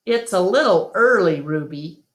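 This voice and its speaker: A woman's voice: lowish voice, lively intonation, a little slow, roomy sound. A woman voicing a patient, easygoing adult male gorilla in a storybook, with a hesitant, explaining manner. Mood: mild.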